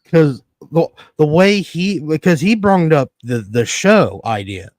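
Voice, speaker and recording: A man in his thirties from Connecticut, hosting a casual wrestling podcast, excitable and quick to swear and laugh. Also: on the high side, no echo, studio quality. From Connecticut, USA. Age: 30s. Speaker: podcast host.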